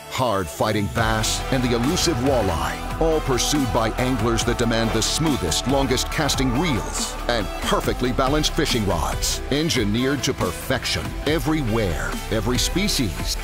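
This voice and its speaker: A man narrates a sweeping tackle ad with a dramatic build. His voice is mid-pitched and moves widely. Music plays underneath. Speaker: ad voice-over.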